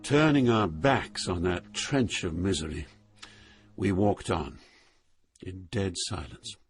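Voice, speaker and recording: A man giving a scripted dramatic narration as storyteller, solemn and theatrical, with measured phrasing. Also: low voice, soft background music.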